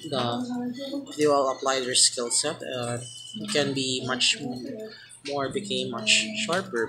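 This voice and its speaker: A young man from the Philippines with a Filipino accent, a student giving an informal class presentation, halting and restarting his phrases, recorded on a phone at arm's length in a small room. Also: mid-range voice, background noise. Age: young adult. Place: small indoor room, ceiling lights. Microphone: phone camera at arm's length.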